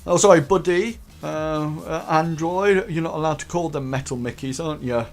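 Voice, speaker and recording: A man's voice: a little high, fairly even intonation, moderate pace, a little mellow, natural voice. A man voicing a nervous, eager young male recruit who stammers constantly. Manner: stammering, hesitant.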